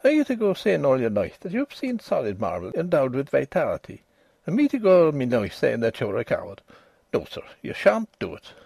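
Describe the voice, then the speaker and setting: A man's voice: mid-range voice, wildly swooping pitch, fairly quick, creaky, a little mellow, husky, no echo, heavily acted. A burly young Irish doctor from a storybook, acted out by a male narrator, scoffing and bossy. His Irish accent comes with hearty force and big swings of intonation. The sound is close and dry. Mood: indignant, forceful. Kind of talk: dramatic audiobook character voice.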